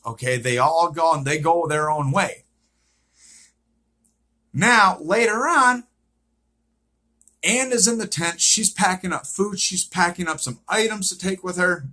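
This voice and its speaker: A man with a fairly high, tense voice retells a TV episode off the cuff. He goes slowly and has a rough, husky edge. He circles back over his points and often checks in with a short questioning okay. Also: animated, pushed and bright. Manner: repetitive, checks in with frequent "okay?". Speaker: vlogger recapping a TV series. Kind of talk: unscripted episode recap, casual retelling.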